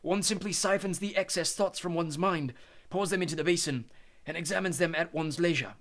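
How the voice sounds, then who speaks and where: Quiet elderly headmaster, calm, measured, gentle. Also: male voice, on the high side, pitched above the performer's own voice.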